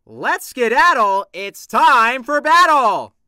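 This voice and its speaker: A man doing bold, bright game-fighter catchphrases. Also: very high voice, sing-song, slow pace, no echo, studio quality, full character voice. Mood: bold, excited. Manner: exaggerated, declamatory. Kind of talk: video game voice lines.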